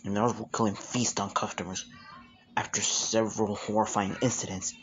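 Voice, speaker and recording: A person performing an amateur horror monologue, telling a dark backstory in a grave, urgent, gravelly way. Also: sits low, flat delivery, a little fast, a little hiss or hum. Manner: grave, urgent, ominous storytelling. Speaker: amateur voice actor.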